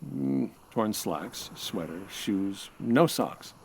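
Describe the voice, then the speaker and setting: A man playing an animated, suave police inspector, noisy backdrop. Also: fairly low pitch, very slow, creaky, heavily acted. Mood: businesslike. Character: suave, flirtatious male 1940s police homicide inspector. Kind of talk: comedic retro radio drama acting.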